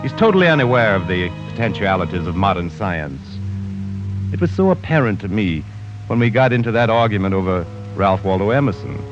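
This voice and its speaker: A man's voice: fairly low pitch, lively intonation, fairly quick, music in the background, natural voice. An actor playing an arrogant, bookish man who scorns sentiment, in a 1940s radio drama. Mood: smug. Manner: haughty, condescending. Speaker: narrator reading his journal. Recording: muffled 1940s radio broadcast.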